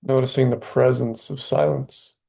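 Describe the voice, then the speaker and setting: A man leading a guided meditation, speaking softly and slowly with long pauses between phrases. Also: medium pitch, level tone, gravelly, slightly rough. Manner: slow, soft, long pauses.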